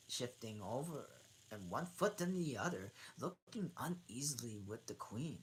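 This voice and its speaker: A woman's voice: rumbling low, poor audio. A woman reading a storybook aloud as an amateur host, often stumbling over words and repeating phrases. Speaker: channel host, reader. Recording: noticeable background noise.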